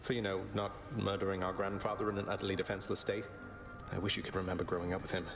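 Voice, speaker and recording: An actor playing an easygoing, affectionate young Underworld prince and big brother in a video game cutscene, casual and wry. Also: male voice, low-pitched, flat delivery, racing, over music. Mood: earnest, wry.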